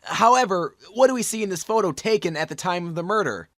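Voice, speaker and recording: A man's voice: sits high, lively intonation, quick pace, fairly bright tone, no room sound, studio quality. A man playing an earnest, determined young defense attorney, arguing dramatically in court in an amateur game fandub. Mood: pointed, confident. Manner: declamatory courtroom argument.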